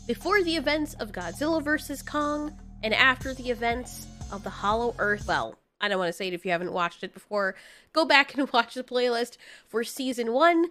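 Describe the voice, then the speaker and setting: A woman narrates a scripted story. Her voice sits on the high side and moves animatedly up and down, with music underneath. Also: a bit bright. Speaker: narrator and host.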